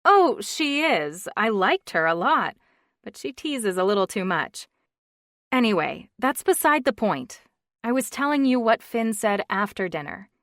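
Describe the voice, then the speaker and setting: A woman's voice: mid-range voice, sing-song, measured pace, fairly bright tone, dry recording, pitched above the performer's own voice. A woman voicing a frustrated woman in her thirties, wry and exasperated, in a dry, close reading. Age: 30s.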